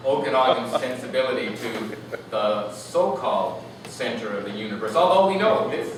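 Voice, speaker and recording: Echoey, distant man reading literary prose. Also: mid-range voice. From Canada. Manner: animated, lyrical, deliberate phrasing. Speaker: author reading own work. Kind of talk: expressive literary reading to an audience. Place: live reading event in a room. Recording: echoey noisy room, distant mic.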